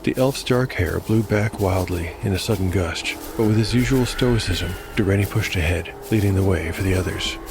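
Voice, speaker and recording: A man reading scripted dark fantasy narration in a measured storytelling manner. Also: low voice, vocal fry, background noise. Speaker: narrator and host. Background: music.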